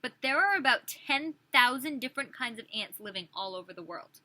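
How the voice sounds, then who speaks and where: A woman's voice: on the high side, expressive, fairly quick, pushed and bright. A woman preschool teacher reading a picture book aloud expressively, with questions and chatty asides for small children.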